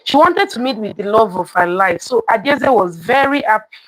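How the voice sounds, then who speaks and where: A woman's voice: on the high side, lively intonation, normal speed, dry recording, low-quality recording. A woman telling a folktale live, repeating lines for emphasis and putting questions to her listeners. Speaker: live storytelling host. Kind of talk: live interactive folktale storytelling, addressing viewers.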